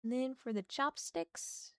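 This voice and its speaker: A woman with a slightly hoarse voice from a sore throat, chatting casually and thinking aloud while she draws on a livestream. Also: sits high, lively intonation, a little slow, no room sound, clean studio sound. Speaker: art streamer.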